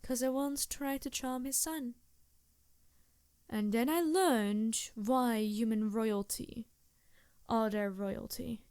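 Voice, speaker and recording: Woman as scheming succubus ally for intimate ASMR roleplay: soft-spoken, seductive, unhurried, very close and dry sound. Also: on the high side, clean tone, no room sound. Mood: pensive. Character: scheming female succubus demon, seductive, reluctant but loyal ally.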